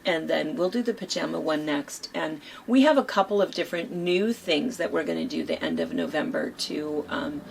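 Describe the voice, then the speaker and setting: A hoarse woman co-hosting a quilt shop chat, rambling casually with lots of fillers. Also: deep voice, big pitch swings, moderate pace, few pauses, a little hiss or hum. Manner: chatty, rambling with many fillers.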